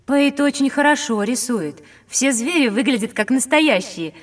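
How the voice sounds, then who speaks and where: A woman's voice: high voice, lively intonation, normal speed, no echo. An actress voicing an inquisitive, wondering young girl in a scripted educational audio drama for children. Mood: admiring, wondering. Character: bright, wondering young girl on a learning adventure.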